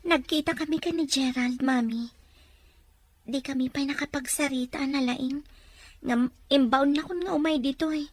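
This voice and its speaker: A woman's voice: sits high, normal speed, close to an everyday voice. A woman with an Ilocano Filipino accent voicing a sincere, dutiful young daughter and student in a radio drama. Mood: pleading.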